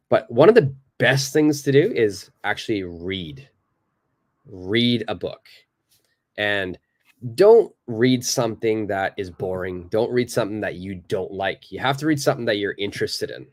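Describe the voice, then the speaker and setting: A male native English speaker teaching a live online English lesson, answering viewers' questions in a relaxed, chatty way with lots of fillers. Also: on the low side. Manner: relaxed and conversational, many fillers, acts out little examples.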